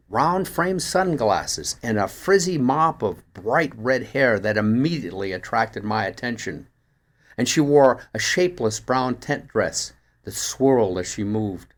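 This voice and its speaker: A man reading a first-person mystery story aloud in an expressive storytelling manner, like an audiobook narrator. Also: mid-range voice. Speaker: actor reading a short story.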